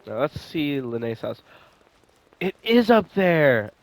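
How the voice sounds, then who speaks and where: A man's voice: fairly high pitch, sing-song, slow, no room sound, low-quality recording. A man doing casual, rambling gameplay commentary, thinking aloud and swearing now and then. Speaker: gaming streamer playing and commenting. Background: game music.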